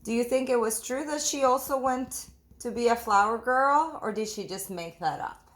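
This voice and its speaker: A woman's voice: a little high, moderate pace, fairly bright tone. A woman teacher reading a picture book aloud, narrating and putting questions to young listeners. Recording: roomy echo.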